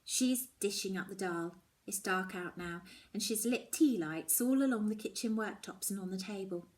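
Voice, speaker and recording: A woman's voice: mid-range voice, normal speed. Woman reading a children's novel expressively. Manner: dramatic, drops toward hushed tones. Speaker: story reader.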